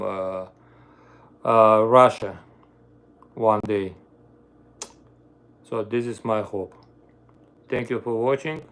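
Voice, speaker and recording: A middle-aged man with an Armenian accent, a violinist chatting casually with viewers on a live stream, pausing to search for words in his third language. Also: lowish voice. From Armenia, grew up in the Soviet Union. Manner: searches for words, fillers, broken grammar. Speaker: livestream host, violinist.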